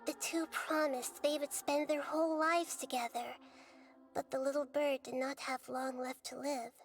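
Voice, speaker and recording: A woman voicing a gentle young girl in a game, speaking in a measured, earnest, storytelling way over music. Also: sits high, fairly even intonation. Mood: solemn, gentle. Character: gentle young girl telling a solemn allegorical tale. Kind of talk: scripted game dialogue and storytelling. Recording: game audio with music underneath.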